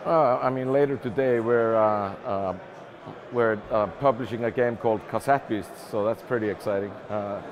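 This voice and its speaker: A man's voice: fairly low pitch, moderate pace. Man from Iceland amid crowd noise. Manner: informal, thinking aloud with repeated words. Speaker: interview guest, game publishing executive. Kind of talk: casual interview answers. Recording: busy event crowd behind the voice.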